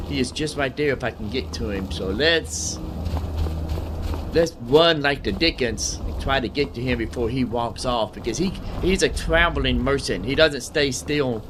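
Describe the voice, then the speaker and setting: A man's voice: mid-range voice, moderate pace, noisy background. A man giving casual gameplay commentary as a video host, repeating his phrases and using folksy slang.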